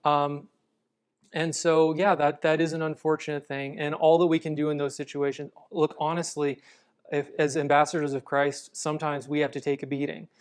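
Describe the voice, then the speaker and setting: Calm, measured man with a smooth voice. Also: a little high. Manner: calm, measured, explanatory with frequent restarts. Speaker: apologetics guest. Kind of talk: conversational interview answers.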